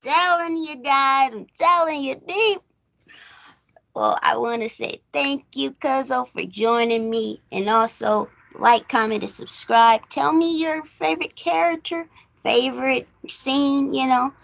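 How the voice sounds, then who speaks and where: Female review-show host: casual, animated and questioning, slightly slow delivery with a noticeable creak. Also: high voice. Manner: animated, rhetorical questions, acts out quotes. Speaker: host of a film recap show. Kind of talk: casual chat movie review.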